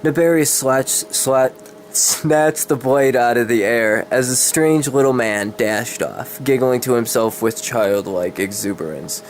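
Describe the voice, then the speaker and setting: A man's voice: medium pitch, unhurried, soft background music. A man giving a dramatic reading of fantasy horror fiction, stumbling over words and correcting himself. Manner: stumbling, self-correcting delivery. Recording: clear background noise.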